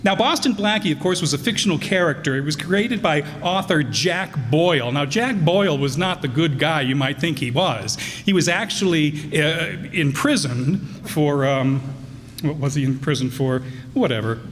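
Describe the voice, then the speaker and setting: A man's voice: fairly high pitch, expressive, moderate pace, a bit bright. A man hosting a live radio play evening, introducing it in a folksy, jokey way. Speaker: announcer and host. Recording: reverberant hall, faint background noise.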